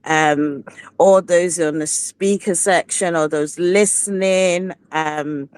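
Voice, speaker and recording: A woman's voice: fairly low pitch, fairly even intonation, takes it slowly, a little mellow, clear, resonant tone, no echo. A woman speaking in an online discussion, sharing casual personal remarks in a hesitant, reflective way.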